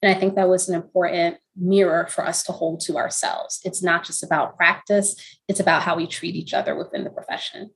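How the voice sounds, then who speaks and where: A middle-aged woman, a professor, speaking as a panelist in a measured, articulate academic way. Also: fairly low pitch. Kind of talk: panel discussion.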